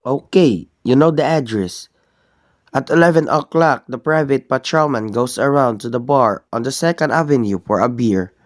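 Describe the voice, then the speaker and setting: A man acting in a radio play as a cold, businesslike crime boss, measured, matter-of-fact and commanding. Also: mid-range voice, no room sound, studio quality, close to an everyday voice. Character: cold, businesslike criminal boss arranging a killing.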